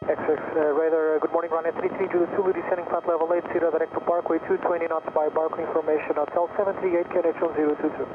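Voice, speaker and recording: A man's voice: a little high, flat delivery, racing, few pauses. A male pilot making procedural calls over an aircraft radio. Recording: tinny, noisy aircraft radio.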